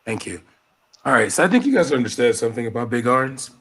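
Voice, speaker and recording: A man's voice: mid-range voice, big pitch swings, fast, a bit bright, breathy edge. A man on a call in an online debate, placating the others and repeating himself as he asks to interject.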